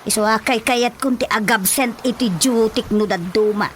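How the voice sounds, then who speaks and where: Woman as an evasive, high-voiced village councilwoman. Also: moderate pace, fairly bright tone, a little hiss or hum, lightly acted. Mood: defensive. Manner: hesitant, hedging. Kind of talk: radio drama acting in Ilocano. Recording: faint music behind the voice. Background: light background music.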